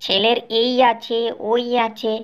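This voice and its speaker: A woman voicing a sharp-tongued, exasperated young village wife in a Bengali cartoon, scolding and sarcastic. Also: a little high, steady tone, slow, dull audio.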